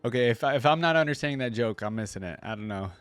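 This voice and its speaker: A man's voice: mid-range voice, animated, very fast, fairly bright tone, clear, resonant tone, no room sound, soft background music. A man hosting a reaction video, chatting informally and slangily as he muses aloud. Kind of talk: casual chat, reacting to game voice lines.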